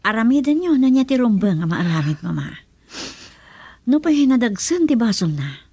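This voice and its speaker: An indignant, wounded young woman in a radio drama with a Filipino accent. Her pitch swings widely, and the sound is dry and close. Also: big pitch swings, normal speed, warm tone, breathy edge, no room sound, heavily acted. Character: hurt, indignant young woman betrayed in love.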